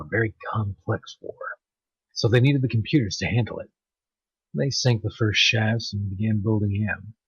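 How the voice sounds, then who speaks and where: A man giving an amateur dramatic story narration, halting in places and stumbling over words. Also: fairly low pitch, husky, no room sound, muffled recording. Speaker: narrator of online story readings.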